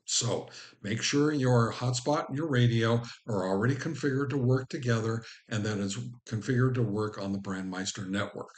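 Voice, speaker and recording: A man's voice: medium pitch, moderate pace. A man presenting an informal step-by-step tutorial as host, instructing the viewer directly. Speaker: host of a ham radio how-to channel.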